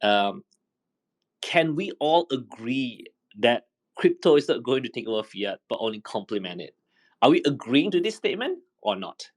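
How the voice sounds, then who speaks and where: A man hosting and moderating a casual panel chat. He talks in a relaxed, chatty way with plenty of fillers. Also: medium pitch, normal speed. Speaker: host and moderator.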